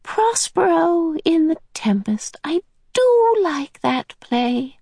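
A woman voicing an old otherworldly female being who speaks in a high, breathy whisper. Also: very animated, slow, mellow, dry recording, heavily acted. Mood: dreamy.

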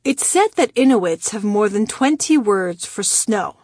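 A woman from Iran, a native Persian speaker, reading her own memoir aloud in a measured, wry storytelling manner. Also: mid-range voice, expressive, darkish tone, husky, no room sound, clean studio sound. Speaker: memoir narrator.

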